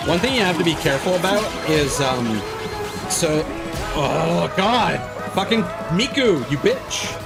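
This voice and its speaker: A man's voice: a little high, animated, measured pace, gravelly. A man giving casual, rambling, sweary commentary as he streams a video game. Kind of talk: casual gaming livestream commentary. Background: game music.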